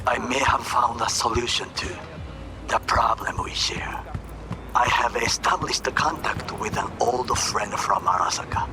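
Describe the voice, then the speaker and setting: An actor playing a grave, honourable corporate warrior in a video game, with a Japanese accent, a breathy hush and formal, deliberate phrasing. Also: male voice, medium pitch, close to an everyday voice. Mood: grave, earnest. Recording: music behind the dialogue.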